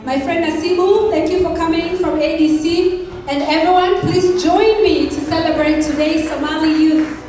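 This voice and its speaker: A woman gives a measured speech to an audience at an award night. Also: extremely high pitch, steady tone, warm tone, slight background noise. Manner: measured, reading a proverb. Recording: echoey hall, distant mic, music.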